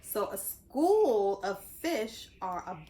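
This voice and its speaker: A woman reading a story aloud to young children, expressive, pausing to explain things and ask simple questions. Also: medium pitch, low-quality recording. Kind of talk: animated read-aloud narration.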